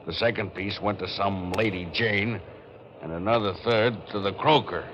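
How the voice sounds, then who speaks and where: A man's voice: fairly low pitch, steady tone, moderate pace, lightly acted. An actor playing a menacing, sneering small-time crook in 1940s radio mystery style, talking in tough-guy slang. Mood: sneering menace. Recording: dull, noisy old 1940s radio recording.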